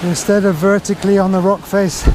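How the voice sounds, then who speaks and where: A man talking casually to his camera as he walks a mountain trail outdoors, reflective and unhurried, with halting phrases. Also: high voice, little pitch movement. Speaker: hiker filming his own walk. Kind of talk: casual walking vlog narration. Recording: noisy outdoor camera audio.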